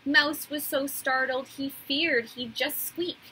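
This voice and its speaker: Bright-voiced woman reading a storybook slowly. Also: high-pitched, slight background noise, low-quality recording. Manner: deliberate, animated storytelling. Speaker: teacher reading aloud. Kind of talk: expressive children's storybook read-aloud. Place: small indoor room.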